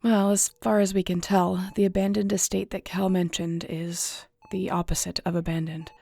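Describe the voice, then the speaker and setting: A woman's voice: lowish voice, little pitch movement, moderate pace, gravelly, a little mellow, no room sound. A woman acting in an audio roleplay as a weary, guilt-ridden shifter investigator, speaking in a somber, conversational, confiding way. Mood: worried, heavy.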